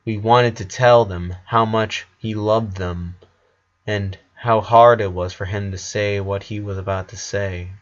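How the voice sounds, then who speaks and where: A man's voice: on the low side, fairly even intonation, a little slow. A man reading a story aloud as scripted narration, in the role of narrator. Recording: dull lo-fi recording.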